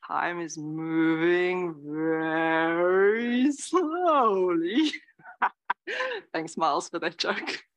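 A woman in her forties giving a lively, conversational dharma talk as a Zen teacher, dropping now and then into soft whispered asides. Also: fairly low pitch. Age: 40s. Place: meditation hall before a live audience.

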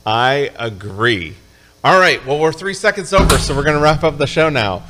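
A man's voice: a little high, animated, normal speed, background noise. A man from upstate New York hosting a live show, chatting casually with a guest and wrapping up with energy. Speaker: show host.

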